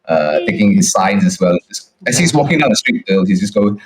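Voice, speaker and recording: A man narrating a tabletop game as game master, improvising in a halting way. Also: fairly low pitch, sing-song, crowd noise, rough recording.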